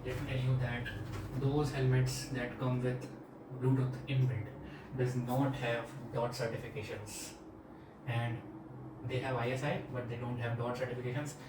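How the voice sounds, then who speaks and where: A man with an Indian accent talks through a product review in a flat, even tone. He is informal and plain-spoken, recorded at a distance in an echoey room with noise behind him. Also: medium pitch, normal speed. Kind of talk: casual product demonstration and review.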